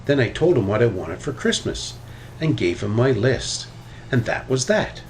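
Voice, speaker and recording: Man doing a lively young boy bear cub. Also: medium pitch, normal speed, slight background noise, poor audio. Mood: matter-of-fact. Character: matter-of-fact young boy bear cub, big brother.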